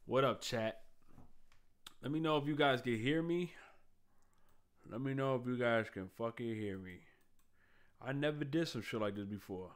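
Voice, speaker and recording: A man's voice: medium pitch, moderate pace, long pauses, clean tone. A man live streaming from his desk mic, ranting to his chat in a loose, profane, rambling way. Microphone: streaming microphone at a desk.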